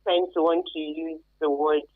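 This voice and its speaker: A woman's voice: low-pitched, level tone, normal speed. A woman with a Nigerian accent explaining things emphatically and spontaneously as an interview guest, heard over a thin phone line. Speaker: expert guest on human trafficking. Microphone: phone call.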